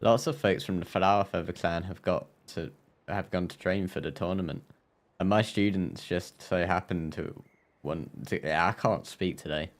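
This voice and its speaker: Low-pitched man streaming a game. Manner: joking, stumbles over read lines. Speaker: live streamer. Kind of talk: game livestream, reading dialogue aloud and commenting. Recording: game music behind.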